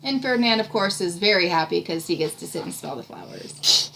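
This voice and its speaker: Creaky-voiced woman reading aloud to a child. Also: lowish voice, animated, brisk, pushed and bright. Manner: encouraging, explains and asks questions. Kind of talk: interactive picture-book read-aloud, chatting with a child. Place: small room indoors. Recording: noisy home recording with room ambience.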